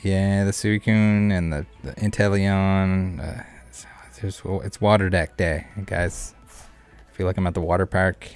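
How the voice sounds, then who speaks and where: Low-voiced man live streaming casually. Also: fairly even intonation, unhurried, clean tone. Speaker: streamer, host of a card game live stream. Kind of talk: casual live game commentary and chat with viewers. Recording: music playing behind the voice. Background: background music.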